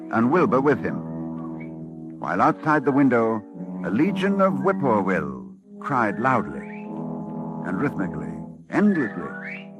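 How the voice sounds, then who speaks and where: A learned, formal scholar in a 1940s radio horror play with music behind him. His fairly low voice moves expressively through grave, dramatically paused lines. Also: muffled recording. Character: educated male university librarian doctor, grave and appalled. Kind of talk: scripted first-person narration, 1940s radio horror.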